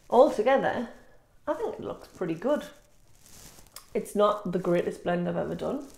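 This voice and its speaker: A woman's voice: lowish voice, gravelly, darkish tone. A woman chatting casually as a beauty vlogger, informal and self-deprecating, sounding sniffly and congested. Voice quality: congested, nasal. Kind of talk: casual chat to camera, makeup review. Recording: echoey room.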